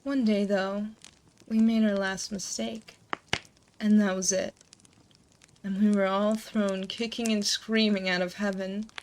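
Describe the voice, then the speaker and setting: A woman playing an ancient succubus demon queen, motherly and gently teasing, in a hushed, soothing, intimate ASMR roleplay, close to a binaural mic. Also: medium pitch, little pitch movement, measured pace, natural voice. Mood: wistful, solemn. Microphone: close binaural 3Dio microphone. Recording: close binaural mic, noticeable background noise.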